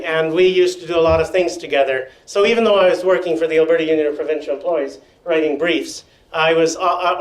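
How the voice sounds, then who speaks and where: An older man telling stories at a panel in a meeting room, loud-voiced with wry joking asides, feeling unwell and dizzy. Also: fairly high pitch. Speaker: panel speaker. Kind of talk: storytelling at a panel. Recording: echoey room.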